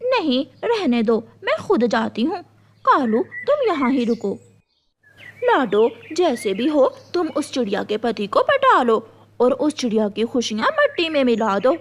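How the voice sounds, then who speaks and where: A woman voicing a jealous, bitter, scheming crow wife in a cartoon, sharp-tongued and complaining. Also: sits high, big pitch swings, a little slow, heavily acted.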